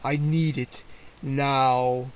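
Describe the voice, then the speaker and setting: A man voicing an old male vampire count in an audiobook, with a slow, drawn-out menacing delivery. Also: medium pitch, level tone, smooth, pitched below the performer's own voice. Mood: dreamy. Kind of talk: audiobook character voice.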